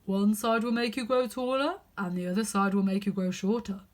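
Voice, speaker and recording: A woman voicing an unhurried, drowsy caterpillar who speaks slowly and deliberately. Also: mid-range voice, steady tone, lightly acted. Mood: languid.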